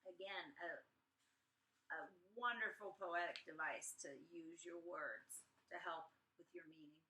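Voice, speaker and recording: Female teacher, chatty read-aloud host with expressive asides, echoey room, a little far from the mic. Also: on the low side, measured pace, slight background noise. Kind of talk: informal read-aloud lesson for students.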